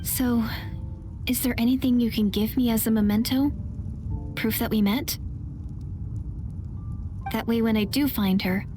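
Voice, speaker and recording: An actress playing an earnest, hopeful young woman in a video game, speaking gently and deliberately. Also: fairly high pitch, over music, close to an everyday voice. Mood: tender, resolute. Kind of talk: video game character acting.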